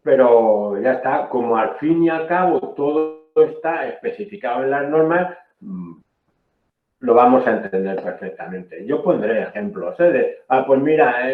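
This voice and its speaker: Man lecturer, from spain, explanatory, checks in often, echoey room, phone-like sound. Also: medium pitch. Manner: explanatory, frequent "¿eh?" checks, self-corrections. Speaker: technical trainer. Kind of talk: online training lecture.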